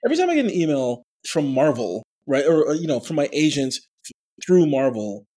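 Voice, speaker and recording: A man, an actor, talking in a casual interview, animated and full of restarts, with a somewhat nasal voice. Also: fairly high pitch, brisk. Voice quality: nasal natural voice. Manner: animated storyteller, many fillers and restarts. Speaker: actor and voice actor, podcast guest.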